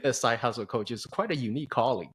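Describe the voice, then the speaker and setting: A man's voice: medium pitch, fast talker, fairly bright tone, slightly rough. A man hosting an interview, introducing his guest in admiring, formal words and asking her open questions. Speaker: interview host. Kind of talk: conversational podcast interview.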